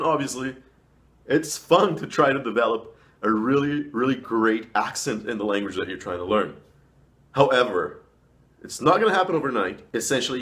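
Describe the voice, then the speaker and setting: A man's voice: mid-range voice, a bit bright. A man talking casually as a vlogger giving advice, emphatically stressing and stretching key words. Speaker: language vlogger. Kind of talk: casual advice talk to camera.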